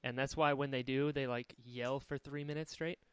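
A young man from North Carolina hosting a podcast, upbeat and quick to laugh, often repeating his words. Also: mid-range voice, steady tone. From North Carolina, USA. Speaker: podcast host. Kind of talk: casual podcast chat.

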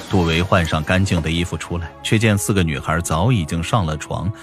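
Deep-voiced male audiobook storyteller. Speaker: audiobook narrator. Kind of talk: expressive scripted fiction narration.

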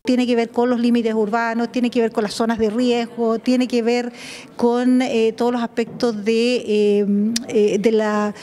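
Halting Chilean woman, slightly high voice. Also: little pitch movement, mellow. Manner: halting, repeats and reformulates. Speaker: regional councillor. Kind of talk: news interview answer. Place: council meeting room indoors. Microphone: handheld interview microphone.

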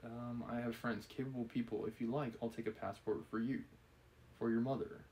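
A man reading the part of an obsessive, menacing gentleman from a novel, insistent and persuasive. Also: medium pitch, flat delivery, brisk, lightly acted. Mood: feverish pleading. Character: obsessive, menacing gentleman pleading with and cornering a woman. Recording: clearly audible background noise.

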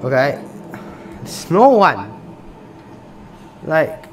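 A young gaming YouTuber from Singapore reacts to a game in a fairly high voice, drawn out and unhurried. He reads each question aloud and answers offhandedly, with "bro" dropped in again and again. A faint hiss sits under the recording. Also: big pitch swings, poor audio. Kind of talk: casual gameplay commentary. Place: small room indoors.

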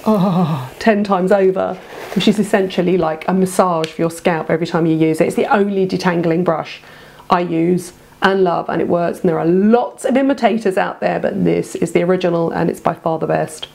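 A woman's voice: fairly low pitch, steady tone, normal speed, creaky, darkish tone. A woman in her fifties, congested from a head cold, chatting to camera about beauty products at home, gushing with many ums. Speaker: beauty vlogger. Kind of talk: casual to-camera product review. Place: home room, indoors.

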